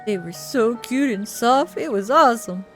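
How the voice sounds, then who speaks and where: A woman's voice: a little high, animated, moderate pace. A woman commenting on a game playthrough in a playful, reactive, exclamatory way, with music behind her. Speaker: gaming streamer. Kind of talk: playthrough commentary with amateur character reading.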